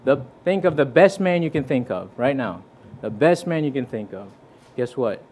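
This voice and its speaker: A man's voice: mid-range voice, expressive, normal speed, a little mellow. A young man from Fiji preaching in a church, telling his testimony earnestly and conversationally, with restarts and quoted scripture. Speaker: visiting preacher. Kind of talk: sermon with personal testimony.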